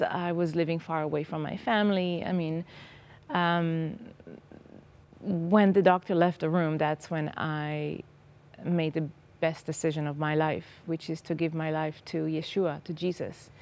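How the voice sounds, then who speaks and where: A woman's voice: low voice, steady tone, a little slow, a little mellow. Earnest woman, hesitant speech. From Israel. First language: Hebrew. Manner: earnest, hesitant, many ums. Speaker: guest. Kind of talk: personal testimony in a TV interview. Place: TV studio set. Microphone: lapel.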